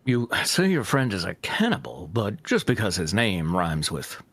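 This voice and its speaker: A man's voice: on the low side, huge pitch swings, moderate pace, fairly bright tone, breathy edge, no echo, exaggerated voice. A man voicing a refined, bemused radio psychiatrist who gives earnest advice in formal phrasing, in a comedic fanfiction reading. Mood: earnest, exasperated. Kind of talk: comedic fanfiction read-aloud.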